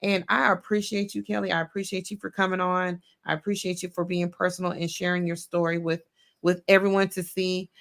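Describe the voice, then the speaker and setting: A woman's voice: on the low side, level tone, brisk, gravelly. Woman host, casual, chatty, repetitive thanks, video call. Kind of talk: closing remarks of an online show.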